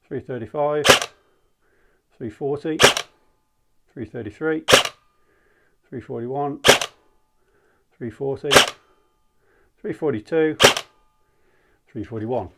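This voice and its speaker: A man's voice: mid-range voice, heavy background noise. A man giving a casual, candid product review in a conversational, rambling way. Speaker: airsoft gear reviewer.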